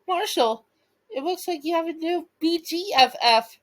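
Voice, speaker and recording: A cheerful, affectionate girl animal from a cartoon storybook, read by a woman. The voice is very high and lively, full of supportive exclamation. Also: a little slow, full character voice. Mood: amused.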